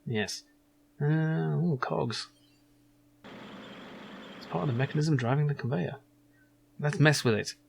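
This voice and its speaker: A man's voice: a little high, slow pace, vocal fry, long pauses, slight background noise. A man giving casual, rambling let's play commentary as a gaming channel host, musing hesitantly as he goes.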